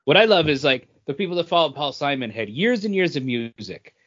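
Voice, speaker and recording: A man's voice: a little high, lively intonation, brisk, a bit bright, highs cut off. A man chatting casually and enthusiastically as a wordy comic book podcast co-host. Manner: enthusiastic, wordy fan talk. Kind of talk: casual chat.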